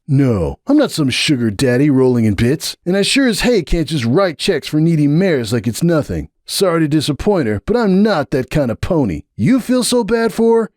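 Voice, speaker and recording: A man's voice: on the high side, no room sound, clean studio sound, pitched above the performer's own voice. A man voicing a brash, hot-tempered young stallion. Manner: defiant, sarcastic, rising to shouts. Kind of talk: dramatic audiobook reading.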